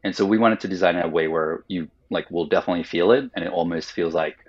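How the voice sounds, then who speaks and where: A man's voice: low-pitched, quick pace, breathy edge, lo-fi sound. A man whose first language is Finnish, a guest in a casual interview, explaining his ideas thoughtfully and at length with lots of "like"s. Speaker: guest, company founder.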